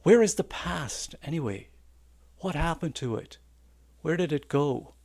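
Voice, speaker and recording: An older man giving a reflective Dharma talk as a Zen teacher, halting and contemplative with thoughtful pauses. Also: fairly high pitch, expressive, vocal fry, darkish tone, dry recording. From Ireland. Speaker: Zen senior assistant teacher.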